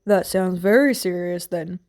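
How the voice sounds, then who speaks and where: A gruff, fatherly fighting man of middle age, as a woman narrator plays him. He speaks in a rough, grumbling way with plain affection underneath, as in a fantasy story read aloud. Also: lowish voice, measured pace, a little mellow. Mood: gruff, fond. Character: gruff, tender middle-aged male warrior, fatherly guard.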